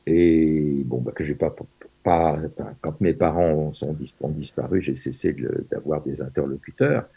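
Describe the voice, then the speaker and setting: A man's voice: rumbling low, steady tone, a little slow, creaky, warm tone, breathy edge. An older man in his seventies from France, a Yiddish teacher being interviewed over a video call, hesitant with many fillers and restarts.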